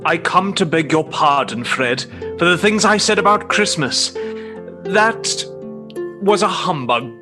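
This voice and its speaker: A man's voice: on the high side, lively intonation, measured pace. A man playing a repentant old miser in a radio play, emotional and theatrical, often repeating his words. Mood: humble, apologetic. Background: music.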